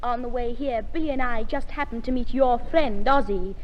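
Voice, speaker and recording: Woman playing a high-voiced, indignant older sister. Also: moderate pace, highs cut off, close to an everyday voice. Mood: tattling. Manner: sharp, indignant. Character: exasperated, tattling teenage older sister. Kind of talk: 1950s radio comedy acting. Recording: echoey 1950s radio recording, faint hiss.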